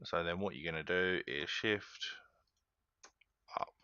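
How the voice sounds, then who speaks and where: A man hosting a casual game tutorial, walking viewers through each step in a relaxed, chatty way and often calling them guys. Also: sits low, takes it slowly, clear, resonant tone, no room sound, lo-fi sound. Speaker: gaming tutorial host.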